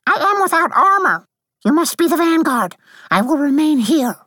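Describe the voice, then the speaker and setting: A man voicing a tiny female fairy warrior, deadpan and fondly snappish, in a storybook narration. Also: very high voice, normal speed, dry recording, studio quality, voice pushed up, exaggerated voice. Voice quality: flat delivery.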